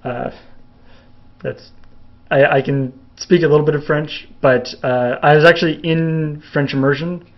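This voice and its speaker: A man's voice: on the high side, steady tone, measured pace. Young canadian guy rambling thru viewer q's, lots of uhs, kinda muffled sound. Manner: rambling, many fillers and restarts, laughs a little. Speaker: video host answering viewer questions. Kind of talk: casual live Q&A chat.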